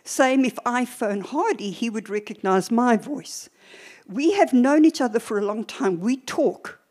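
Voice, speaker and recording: An older woman preacher teaching a church sermon in a deliberate, emphatic way, with short pauses and repeated phrases. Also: fairly high pitch, expressive, a little mellow.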